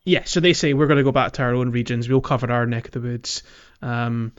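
A man co-hosting a casual gaming podcast, talking haltingly and thinking aloud as he goes. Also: mid-range voice, smooth, highs cut off. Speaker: podcast co-host.

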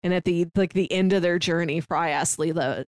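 A woman's voice: lowish voice, normal speed, no room sound, studio quality. A woman co-hosting a podcast, chatting enthusiastically and recounting plots in a casual way. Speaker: podcast co-host. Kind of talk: casual chat.

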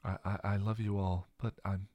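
A man voicing a fierce, defiant young woman who speaks forcefully in short, clipped lines. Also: fairly low pitch, level tone, moderate pace, no echo, highs cut off, voice pushed up, lightly acted. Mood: anguished.

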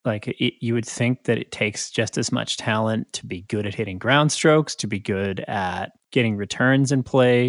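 A man's voice: medium pitch, no room sound, studio quality. A man co-hosting a podcast, talking through sports in a casual, analytical chat. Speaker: podcast co-host.

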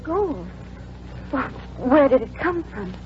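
A woman's voice: high voice, very animated, takes it slowly, exaggerated voice. A woman playing a worried, principled farm wife with an earnest manner, in 1940s radio drama style. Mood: puzzled, concerned. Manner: earnest, pleading. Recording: muffled, noisy old radio broadcast.

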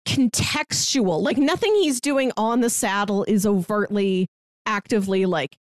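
A woman's voice: medium pitch, unhurried, no room sound. Woman podcaster in casual film chat, dry sound. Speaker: film review podcast co-host.

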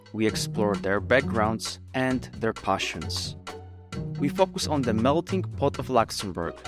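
A man hosting a podcast, reading a scripted introduction and asking measured, deliberate questions. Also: medium pitch. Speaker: podcast host.

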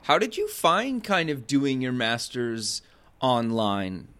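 A man's voice: medium pitch, huge pitch swings, slow pace, fairly bright tone, clear, resonant tone. A man hosting an interview show in an informal, slightly rambling conversational way. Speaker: host.

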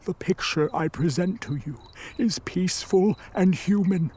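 An actor in a radio play voicing an embittered, vengeful created monster, with a husky voice and grandiose, formal delivery. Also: mid-range voice, darkish tone. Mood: solemn, persuasive. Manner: grandiose, theatrical, deliberate delivery. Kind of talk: radio play acting, formal archaic speech.

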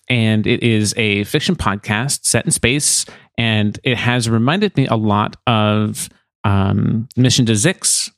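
A man's voice: medium pitch, no echo. Male podcast host, close dry sound. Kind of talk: casual podcast chat.

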